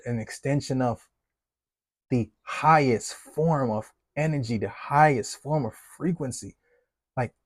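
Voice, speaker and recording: A man with a Jamaican accent, giving a casual motivational talk as a fatherhood coach in a relaxed, conversational way. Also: mid-range voice, no room sound, clean studio sound. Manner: relaxed, conversational, many fillers, laughs easily.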